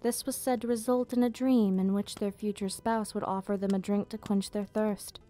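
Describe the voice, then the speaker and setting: A woman playing a gentle, nostalgic vampire hostess in an ASMR roleplay, speaking softly in slightly formal, unhurried explanations. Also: mid-range voice, level tone, lightly acted. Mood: fond, explaining. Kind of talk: ASMR roleplay, soft storytelling. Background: background music.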